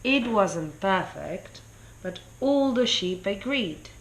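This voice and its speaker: Slow-paced woman reading a children's storybook. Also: medium pitch, slight background noise, rough recording. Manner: deliberate, expressive storytelling. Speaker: story reader. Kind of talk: children's storybook read-aloud.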